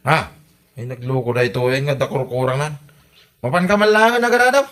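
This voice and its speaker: A man's voice: mid-range voice, brisk, fairly bright tone, close to an everyday voice. A man with an Ilocano accent playing a lazy, mocking young village bully in a comedy audio drama, with a scornful, taunting delivery. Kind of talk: amateur Ilocano comedy radio drama acting.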